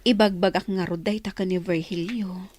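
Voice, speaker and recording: An actress playing an anxious, coaxing wife of a poor labourer in a radio drama. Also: female voice, fairly low pitch, fairly even intonation, normal speed, darkish tone, no echo, close to an everyday voice. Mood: resigned. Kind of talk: radio drama acting in dialogue.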